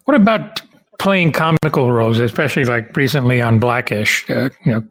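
Casual male interviewer, medium pitch. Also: brisk, low-quality recording.

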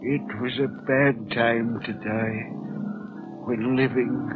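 A muffled old radio recording with music behind a haunted, guilt-ridden man , played by an actor. His slow, halting delivery is melodramatic, as in classic radio horror. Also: mid-range voice, fairly even intonation, close to an everyday voice. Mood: haunted, brooding. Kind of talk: 1940s radio horror acting and narration.

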